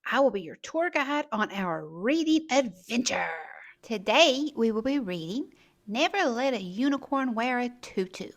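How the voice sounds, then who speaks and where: A woman hosting a children's storytime, reading aloud expressively with theatrical, deliberate phrasing. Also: fairly high pitch, fairly bright tone. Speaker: children's storytime host and narrator.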